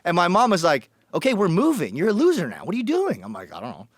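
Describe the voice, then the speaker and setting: A man's voice: sits high, huge pitch swings, fast talker, dry recording, studio quality. A man from Colombia telling his story as a podcast guest, blunt and joking, acting out the people he quotes. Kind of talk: casual storytelling.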